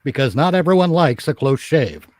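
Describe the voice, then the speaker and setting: Joking man with a lively, highish voice. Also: normal speed, no room sound. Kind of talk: casual banter with mock ad reads. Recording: faint background noise on voice chat.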